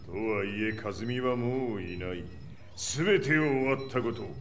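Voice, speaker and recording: An actor playing a ruthless old warlord in a video game, with archaic, imperious Japanese speech. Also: male voice, medium pitch, fairly quick, a little hiss or hum, close to an everyday voice. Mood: cold, brooding. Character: ruthless, triumphant old male warlord of a conglomerate. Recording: loud cinematic music behind.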